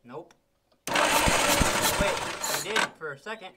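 A man's voice: high-pitched, animated, slow, very noisy. A man in his thirties narrating casually to camera while working on an old machine in a barn, muttering to himself as he goes. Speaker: restoration vlogger. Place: open-sided metal barn.

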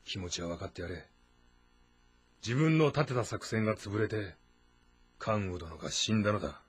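An actor playing a considerate, quietly concerned warrior general in a dramatic game, with a husky voice. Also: male voice, fairly low pitch, expressive, moderate pace. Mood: somber. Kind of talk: Japanese audio drama game acting.

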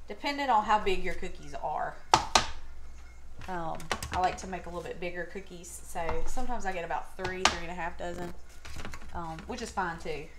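A middle-aged woman talking in a casual, folksy way to a camera a step or two away in her home kitchen, as host of a cooking demonstration. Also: medium pitch. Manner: chatty, folksy, informal slangy phrasing. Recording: clearly noisy home recording with clicks.

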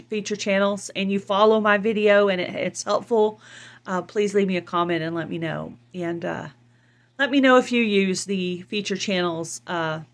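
A woman's voice: medium pitch, a bit bright. Woman chatting casually as a vlogger. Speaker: video channel host. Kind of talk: casual vlog chat. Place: indoors, filming a laptop screen.

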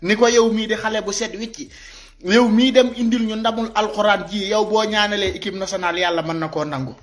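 High-pitched Senegalese man, exhorting. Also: a little fast. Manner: animated, repetitive, exhorting. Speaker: social media commentator. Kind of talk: impassioned informal video commentary. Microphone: phone at arm's length.

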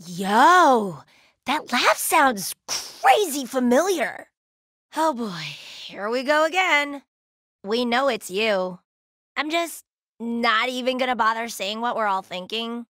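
A woman's voice: a little high, animated, a bit bright, dry recording, clean studio sound. A woman voicing a brash, playful young female alien fighter in a video game, with a cocky, slangy, laid-back manner. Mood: dry, unimpressed. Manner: cocky, slangy, drawn-out exclamations. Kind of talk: video game character voice lines.

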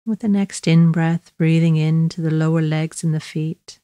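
A woman leads a guided body scan meditation. Her voice sits low and is soft-edged and dark. She speaks slowly and gently, in measured instructions with long pauses, in a very dry recording. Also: steady tone, no echo.

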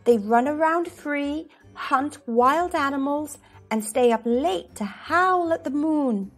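Woman voicing an eager, high-pitched storybook dog. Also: slow pace, soft background music. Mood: amazed. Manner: exclamatory, theatrical, expressive. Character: eager, dramatic male pet dog longing to be a wolf. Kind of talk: children's storybook read-aloud.

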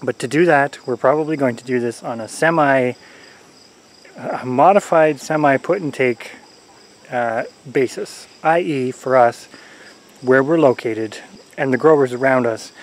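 A man in his forties, a homesteader talking casually to a handheld camera outdoors, relaxed and rambling with many fillers and chuckles. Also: medium pitch, moderate pace. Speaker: homesteader vlogger. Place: outdoors in a corn garden. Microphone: camera-mounted mic at arm's length. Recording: faint outdoor insect noise. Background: crickets and insects.

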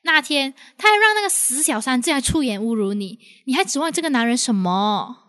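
Woman as a high-voiced outspoken young friend. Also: big pitch swings, brisk, fairly bright tone. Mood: scolding. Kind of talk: radio drama acting.

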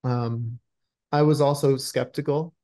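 A man in his thirties speaks on a video call in a conversational interview style. He is effusive and thoughtful, often searching for the right word. His pitch sits in the middle of the male range. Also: fairly even intonation, unhurried, dark tone. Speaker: screenwriter being interviewed. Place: indoors in a room at home, on a video call. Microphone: computer video-call microphone.

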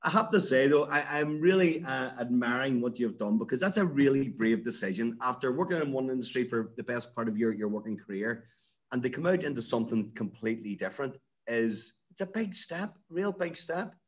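A man's voice: medium pitch, animated, fairly quick. A middle-aged man hosting an interview over a video call from an office, upbeat and jokey. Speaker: interview host. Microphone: laptop webcam on a video call.

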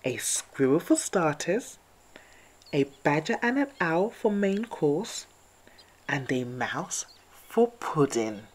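A woman voicing a sly, hungry wolf villain in a children's story, sneering and sniggering with drawn-out menace. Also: sits low, big pitch swings, full character voice. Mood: gloating menace.

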